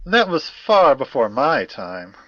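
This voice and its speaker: A man's voice: medium pitch, very animated, takes it slowly, a bit bright, no echo, muffled recording, heavily acted. A man playing a fussy, courtly academic in an audio drama, speaking in formal, wordy sentences with old-fashioned politeness. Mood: puzzled, precise.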